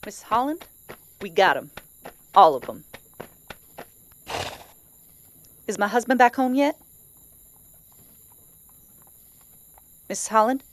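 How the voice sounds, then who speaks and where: A woman's voice: a little high, no room sound. A woman playing a defiant, vengeful frontier wife in an audio drama, bold and sardonic. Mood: anxious, pleading. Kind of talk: western audio drama acting.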